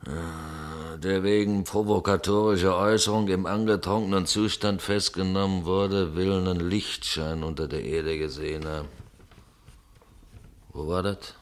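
A weary policeman on a slow shift in a radio drama. His voice is low and deep. He is laconic and musing, with a smug edge. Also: steady tone. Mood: laconic, waiting. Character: weary, smug policeman waiting on Sunday duty. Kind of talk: German radio play acting.

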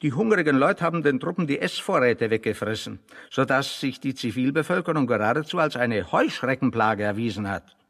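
Gravelly, mock-serious learned man. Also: mid-range voice, lively intonation, fairly bright tone, big-room sound. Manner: deliberate, mock-serious, articulate. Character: pedantic learned man, gravely ironic intellectual in exile. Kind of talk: German radio play dialogue.

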